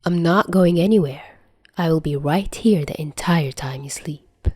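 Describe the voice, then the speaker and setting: A woman's voice: sits low, unhurried, darkish tone, slightly rough, dry recording. A woman voicing a wry, fatherly grown man in an audiobook story, teasing yet patient and protective. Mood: patient, soothing.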